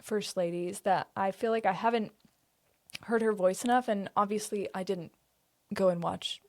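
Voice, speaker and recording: A woman's voice: medium pitch, moderate pace. A young woman from Las Vegas co-hosting a podcast in a giggly, self-deprecating way with many fillers. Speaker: podcast co-host. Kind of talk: casual podcast chat.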